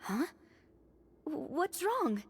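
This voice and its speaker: A woman voicing a tiny, excitable childlike fairy companion in an anime game, cutesy and easily spooked. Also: drawn-out words, full character voice. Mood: surprised. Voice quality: squeaky, cutesy. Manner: expressive, gasping, third-person childish talk.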